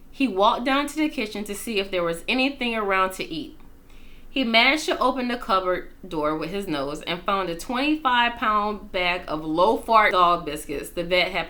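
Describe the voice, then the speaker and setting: Animated, bright-voiced woman reading stories. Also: mid-range voice. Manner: expressive, playful, dramatic. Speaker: storytime reader. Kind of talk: animated children's story read-aloud with casual asides.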